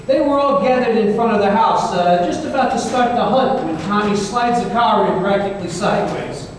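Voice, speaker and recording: A man's voice: sits high, moderate pace, lightly acted. A man playing a wisecracking detective, recounting his adventures in a wry, conversational old-time radio style. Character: wisecracking male detective recounting a supernatural gunfight. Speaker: actor in a staged radio play. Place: live performance in a reverberant hall. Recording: very echoey hall, distant mic, faint noise.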